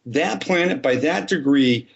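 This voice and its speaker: A man's voice: a little high, expressive, measured pace, gravelly. Methodical man explaining in dull audio. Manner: methodical, talks through examples step by step. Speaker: astrologer guest. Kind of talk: explaining in a podcast interview.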